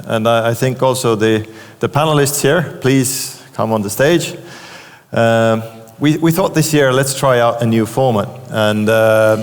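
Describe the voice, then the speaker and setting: A man moderating a conference panel, talking loosely with many fillers. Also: mid-range voice. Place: conference stage in a large hall. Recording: long hall reverb.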